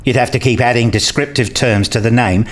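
A man's voice: medium pitch, fairly even intonation, fairly quick, natural voice. A man playing a curious, skeptical interviewer in a radio play, speaking in an inquisitive, articulate way. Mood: curious, probing. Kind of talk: scripted questioning dialogue in a radio play.

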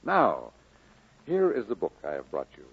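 Kindly preacher, husky lively delivery, firm encouragement, thin vintage radio drama sound. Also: male voice, fairly low pitch, exaggerated voice. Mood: encouraging. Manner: measured, exhorting. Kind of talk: 1940s radio acting. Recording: narrow, phone-like old radio recording.

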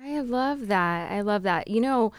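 A woman's voice: mid-range voice, expressive, measured pace, smooth, dry recording. A woman hosting a travel interview podcast, moving between scripted introduction and conversational questions. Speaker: podcast host.

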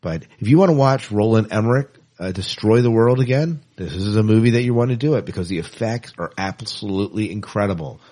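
A middle-aged man with a fairly low voice and a marked husky rasp. He sounds like a podcast host in casual conversation, opinionated about what he has watched. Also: lively intonation, a little fast, hardly any pauses, warm tone. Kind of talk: casual movie news chat.

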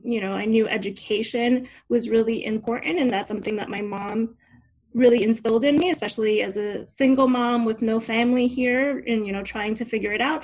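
Brief: young adult woman from Cuba, raised in New Jersey, podcast guest, warm and talkative, slightly high voice. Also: poor audio. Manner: upbeat, talkative, laughs easily. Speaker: podcast guest, career coach. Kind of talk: casual interview chat.

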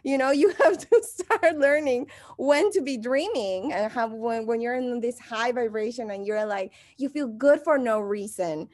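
A woman from Mexico with a Mexican Spanish accent, a guest on a podcast, talking casually in a perky, animated way. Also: fairly high pitch, unhurried, few pauses, dry recording, clean studio sound. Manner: perky, animated, laughs often. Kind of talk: casual conversation.

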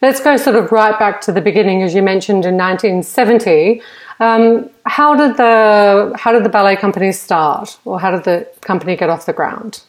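A woman leads an interview with encouraging interjections and open questions. Her voice sits at a middle pitch for a woman. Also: moderate pace. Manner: encouraging, reacts with exclamations. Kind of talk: interview hosting.

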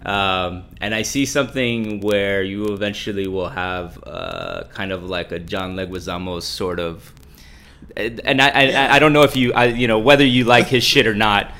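A man's voice: fairly low pitch, fairly even intonation, moderate pace, bright, forceful tone. An American man hosting a podcast, chatting casually in informal, slangy language with plenty of swearing. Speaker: podcast host. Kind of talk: casual chat interview.

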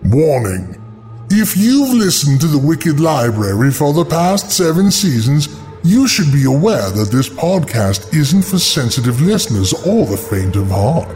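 A man's voice: fairly high pitch, sing-song, measured pace, darkish tone, soft background music. A man playing a gleeful, mocking ghoul of a horror host, announcing in a theatrical, taunting singsong. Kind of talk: scripted macabre announcement with puns.

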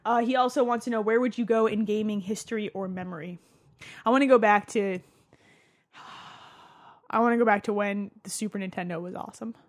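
A woman's voice: medium pitch, fairly even intonation, brisk, long pauses. A woman podcast co-host with a slight New Jersey accent, chatting casually, joking crudely and laughing often. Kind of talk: casual chat.

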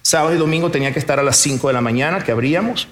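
A man's voice: on the high side, very fast, a bit bright. Hesitant, echoey-voiced immigrant businessman. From born in Portugal, raised in Venezuela. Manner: hesitant, repeats words, laughs easily. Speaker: businessman guest. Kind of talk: casual interview storytelling.

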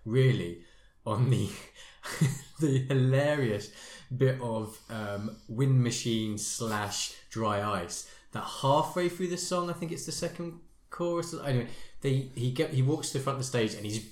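Roomy-sounding male podcast host, medium pitch. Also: measured pace, darkish tone. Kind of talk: casual hosting chat. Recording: echoey room sound.

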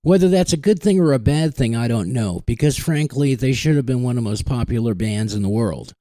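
A man hosting a music podcast in a casual, deadpan way, slipping in dry jokes and wry asides. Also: mid-range voice, brisk, dry recording. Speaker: podcast host.

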